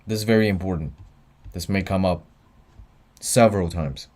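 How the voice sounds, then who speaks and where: A man's voice: low-pitched, moderate pace, a little mellow. A tired young man running a livestreamed exam review, talking offhand and answering chat between explanations. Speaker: student tutor.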